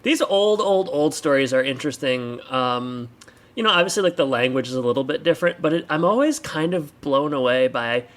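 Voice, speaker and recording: A man's voice: on the high side, expressive, brisk. A man co-hosting a podcast in casual chat, with a joking, playful manner. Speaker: podcast co-host.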